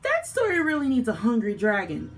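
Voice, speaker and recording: A woman's voice: fairly high pitch, huge pitch swings, a little fast. A woman voicing an impatient, demanding, touchy monster in a picture book read-aloud. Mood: insistent.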